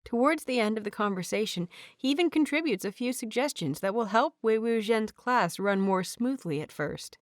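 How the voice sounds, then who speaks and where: A woman's voice: a little high, lively intonation, normal speed, few pauses, dry recording. Woman reading a story, dry close sound. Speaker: narrator. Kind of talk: fanfiction audiobook reading.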